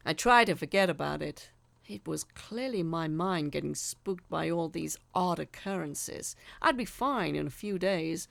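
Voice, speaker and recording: A woman's voice: low-pitched, animated, a little slow, creaky, no echo. A woman reading a first-person horror story in a dramatic narrating style.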